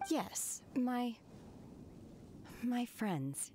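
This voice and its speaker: An actress playing an aloof, melancholy young woman in a video game, speaking in a measured, subdued way. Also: a little high, animated, noisy background. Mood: softly hesitant.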